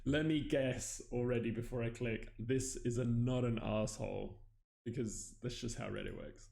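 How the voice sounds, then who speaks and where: A man's voice: medium pitch, fairly even intonation, normal speed, vocal fry, darkish tone. A man hosting a casual stream, reading online posts aloud and commenting on them in a wry, offhand, teasing way.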